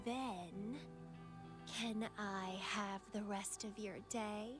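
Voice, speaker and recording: A woman's voice: mid-range voice, steady tone, drawn-out words, music in the background. An actress voicing a playful, dangerously devoted young woman rival in a video game, with a teasing, languid, dramatic delivery. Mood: teasing, intimate. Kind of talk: scripted video game voice acting.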